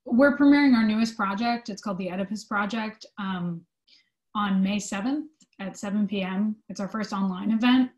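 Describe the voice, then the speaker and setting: A woman's voice: mid-range voice, normal speed. A woman giving conversational interview answers as a theatre company manager, casual in her phrasing.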